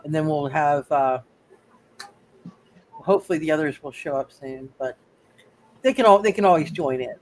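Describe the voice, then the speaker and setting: A man speaking casually as game master, setting scenes haltingly and repeating phrases for emphasis. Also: a little high, a little mellow, slight background noise, poor audio. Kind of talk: casual live tabletop game narration.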